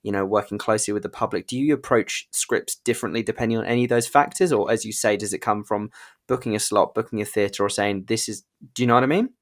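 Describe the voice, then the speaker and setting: A man's voice: lowish voice, fast. A man hosting a casual interview, enthusiastic and encouraging, feeling his way through roundabout questions. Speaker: interviewer, podcast host.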